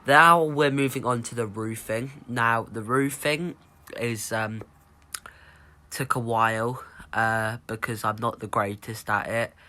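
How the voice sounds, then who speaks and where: A teenage boy with a cold, sounding croaky, rambling casually with lots of ums as he talks over his own building gameplay. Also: mid-range voice, fairly even intonation, takes it slowly, pushed and bright. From United Kingdom. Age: teens. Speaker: gaming YouTuber.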